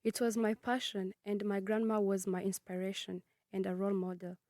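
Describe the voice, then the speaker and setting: A nineteen-year-old woman from Congo who grew up in Kenya, reading her personal narrative in a measured, earnest way. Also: medium pitch, level tone, darkish tone, dry recording, clean studio sound. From Congo, raised in Kenya. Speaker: student narrator.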